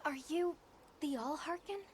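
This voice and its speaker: A woman's voice: high voice, expressive, measured pace, slight background noise. An actress playing an earnest, well-spoken young female expedition leader in a video game cutscene. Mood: polite.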